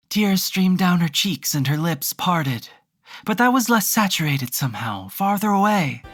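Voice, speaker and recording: Solemn fiction narrator, middle-pitched androgynous voice, measured dramatic reading, faint music behind.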